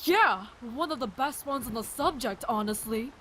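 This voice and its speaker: An actress voices a curious, reassuring doctor. Her voice sits a little high and she talks in a friendly, chatty audio drama style, with faint noise behind. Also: animated, normal speed, a bit bright. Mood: excited. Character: friendly, curious adult doctor and virus researcher.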